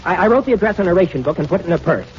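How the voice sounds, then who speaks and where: A harried working-class father, high in pitch, pushy and slangy, repeating himself as he goes. It is 1940s radio comedy on a muffled recording. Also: animated, a little mellow. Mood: relieved. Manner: hurried slangy talk with stammered repeats. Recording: muffled old radio, faint noise.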